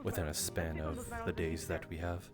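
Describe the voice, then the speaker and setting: A man playing a composed, courteous tavern bard in improvised fantasy roleplay, with measured, formal phrasing. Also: sits low, flat delivery, natural voice. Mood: politely amused. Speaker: roleplay streamer. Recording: loud music behind the voice.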